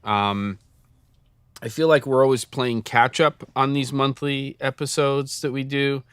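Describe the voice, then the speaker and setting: Casting: male co-host, smooth clear voice, dry close sound, loose rambling chat. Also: medium pitch, steady tone, a little slow, a bit bright, no echo. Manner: chatty, rambling, laughs often. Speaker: podcast co-host. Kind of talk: casual chat.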